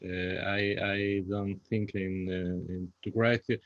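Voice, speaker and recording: A man with a Spanish accent answering panel questions in English as a second language, halting and repeating himself as he searches for words. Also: low voice, little pitch movement. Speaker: playwright guest. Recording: dull lo-fi, echoey room sound.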